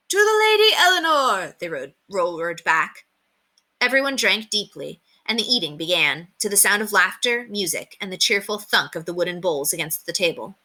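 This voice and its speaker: A woman reading a children's book aloud as an expressive storyteller. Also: fairly low pitch.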